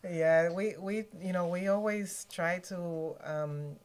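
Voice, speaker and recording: A middle-aged woman chatting reflectively as a podcast guest, feeling her way through sentences in a halting, casual manner. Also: fairly low pitch, a little mellow.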